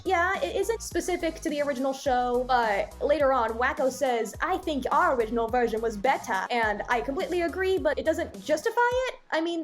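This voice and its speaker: A woman giving an opinionated video review in an emphatic, blunt, disgruntled way. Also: a little high. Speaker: animation reviewer and video host. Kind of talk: opinionated video review, part scripted narration and part off-the-cuff commentary.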